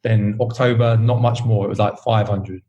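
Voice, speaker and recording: A man's voice: lowish voice, normal speed, highs cut off. A man from the UK with a British accent, talking casually and rambling a bit as an interview guest. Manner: relaxed, rambling, many fillers. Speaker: podcast host, interview guest. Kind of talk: casual chat.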